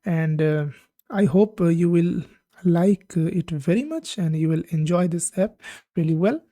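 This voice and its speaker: A woman hosting a tech channel, talking through a software demo on screen, with many "uh" pauses and simple phrasing. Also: lowish voice, animated, no room sound, clean studio sound. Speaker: tech tutorial host. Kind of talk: screen-recorded software walkthrough.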